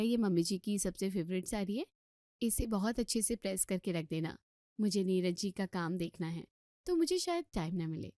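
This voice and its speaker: A woman voicing a sweet, tactful young daughter-in-law, gently persuading as the family peacemaker in a story. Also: mid-range voice, dry recording, clean studio sound, lightly acted. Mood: sweet, soothing.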